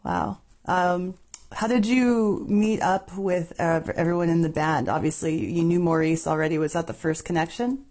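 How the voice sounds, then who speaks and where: A woman's voice: on the low side, steady tone, normal speed, a little mellow. A woman hosting a radio show, introducing and interviewing a guest in an upbeat way, stressing names as she goes. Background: music playing.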